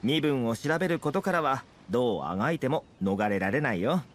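A man's voice: medium pitch, expressive, fairly quick, close to an everyday voice. An actor voicing a friendly, easygoing young male trader and fixer in an anime game, with a casual, affable manner. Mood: reassuring, easygoing. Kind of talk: anime video game voice acting.

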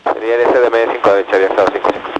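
A man's voice: on the low side, steady tone, rapid-fire, dull audio. A man working as a pilot, giving clipped readbacks over aviation radio. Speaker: airline pilot. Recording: noisy cockpit-to-tower radio transmission.

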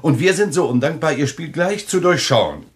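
A mocking, animated, condescending sceptic. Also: male voice, a little high, normal speed. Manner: ironic, theatrical, condescending. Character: jovial, condescending male dinner guest, mockingly disbelieving. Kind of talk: German thriller radio play acting.

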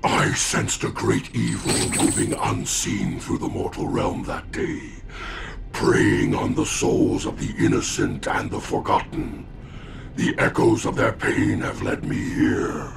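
An actor voicing a grim, vengeful demonic spirit in a game, solemn and ominous, with a hollow rasping voice. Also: male voice, very animated, takes it slowly, a crowd in the background, full character voice. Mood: grave. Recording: loud rumbling noise over voice.

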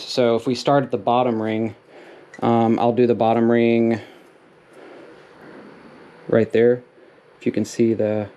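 A man's voice: mid-range voice, dark tone. A man in his thirties, talking casually and rambling with many fillers as he explains hands-on repair work in a small wooden workshop, recorded on the camera mic. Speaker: DIY mechanic vlogger. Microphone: camera mic while working.

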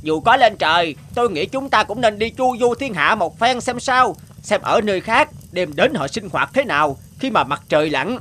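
A man voicing an eager, earnest young villager adventurer in an animated fairy tale, speaking emphatically. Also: sits high, moderate pace, hardly any pauses. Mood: eager, determined.

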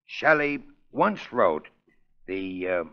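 Slow, halting, eccentric old man. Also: low voice, very animated, exaggerated voice. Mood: musing, genteel. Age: older. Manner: halting, rambling, theatrical. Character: eccentric old groundkeeper who quotes poetry, cryptic. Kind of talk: 1930s radio acting. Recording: dull lo-fi 1930s radio recording.

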